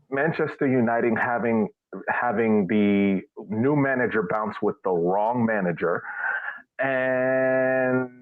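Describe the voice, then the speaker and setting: Male panelist, slightly low pitch, dry room, narrow radio-like band, sarcastic conversational delivery. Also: no echo. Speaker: podcast panelist. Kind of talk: casual chat. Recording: narrow phone-like, radio-like sound.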